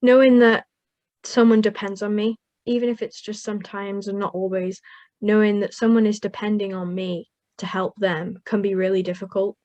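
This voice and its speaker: A woman's voice: mid-range voice, fairly even intonation, normal speed, a little mellow, no room sound, muffled recording. A young woman from Wales whose first language is Welsh, answering interview questions about caring for her mother in an earnest, upbeat way with frequent small laughs. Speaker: young carer guest.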